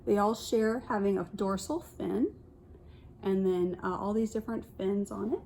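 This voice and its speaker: A woman reads a picture book aloud in the manner of a kindergarten teacher, at a middle pitch. She breaks off often to explain things and point them out in simple words for small children. There is some noise behind her voice in what sounds like a homemade recording. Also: moderate pace, gravelly, a little mellow.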